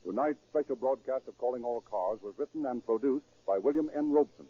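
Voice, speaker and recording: A man's voice: medium pitch, fairly quick, faint music behind. A man working as a radio announcer, reading scripted narration and sponsor copy in a formal, declamatory 1930s style. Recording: muffled, band-limited 1930s radio broadcast.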